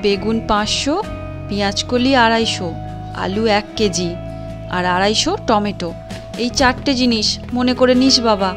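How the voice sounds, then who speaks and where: A woman's voice: medium pitch, unhurried, music in the background, lightly acted. A woman voicing a kindly, talkative, exasperated housewife in a cartoon, nagging yet protective. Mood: instructing. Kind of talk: cartoon voice acting.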